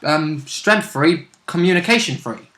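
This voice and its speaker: A man's voice: on the high side, animated, measured pace, a bit bright. Man in an echoey room. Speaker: co-host discussing game rules. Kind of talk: casual discussion of game rules. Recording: roomy, echoing room sound.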